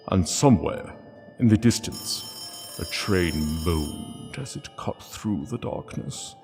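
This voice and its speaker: Deep, slow male narrator, reverberant. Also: gravelly. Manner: solemn, hushed, deliberate. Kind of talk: sombre scripted story narration.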